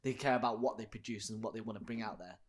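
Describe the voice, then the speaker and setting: A man's voice: fairly low pitch, fast. A man hosting a casual podcast chat, rambling and searching for words. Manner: rambling, many fillers and restarts, searches for words.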